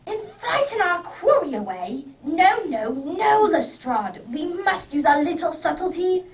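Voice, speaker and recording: A woman playing a brisk, impatient, clever male detective with theatrical emphasis in an amateur radio drama. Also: extremely high pitch, very animated, heavily acted. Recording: distant microphone, background noise.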